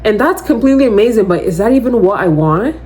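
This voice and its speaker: A woman in her twenties with a loud voice, hosting a podcast in casual, rambling solo chat, full of "like" and animated asides. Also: mid-range voice, fast talker. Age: 20s. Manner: chatty, rambling, heavy use of "like"; animated, slips into quoting others. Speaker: podcast host.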